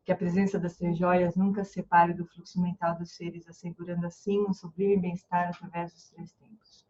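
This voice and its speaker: Woman chanting prayers over a muffled call. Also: fairly low pitch. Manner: slow recited chants and warm animated storytelling. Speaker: dharma study group leader. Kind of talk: chanting prayers and informal teaching. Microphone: online call microphone. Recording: slightly muffled lo-fi sound.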